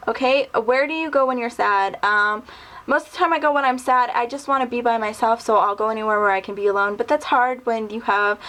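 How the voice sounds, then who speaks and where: A woman in her mid-twenties talks casually to her camera. Her voice sits a little high, and she rambles easily with plenty of fillers. It sounds like a relaxed home video. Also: fairly quick, rough recording. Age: 20s. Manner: rambling, chatty, lots of ums and little laughs. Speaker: vlogger. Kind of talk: casual tag video answering questions.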